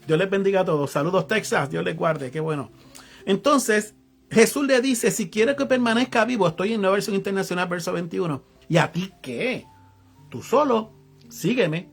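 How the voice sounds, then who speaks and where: Man, Puerto Rican accent, preacher, reads verses then explains them casually, husky, faint background music. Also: high-pitched, normal speed. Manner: reads verses aloud then explains them in casual local slang, greets online viewers. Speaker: evangelist preacher. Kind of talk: sermon reading and explaining Bible verses.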